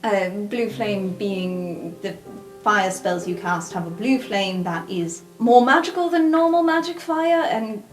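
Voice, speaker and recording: A woman's voice: mid-range voice, lively intonation, a little slow, lightly acted. A woman voicing an inquisitive, bookish magic-university student in an improvised roleplay podcast, probing precisely with slight hesitation. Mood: careful, defensive. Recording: roomy sound with faint music.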